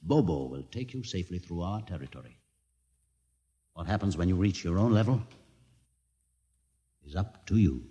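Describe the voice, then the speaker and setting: An actor playing a solemn mutant leader with a twisted leg, speaking gravely and deliberately in a 1950s radio drama. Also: male voice, sits low, lively intonation, mellow, muffled recording, slight background noise. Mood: measured. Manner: slow, grave, dramatic storytelling. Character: aged mutant leader, lame, solemn and wise. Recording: old radio broadcast with long reverb.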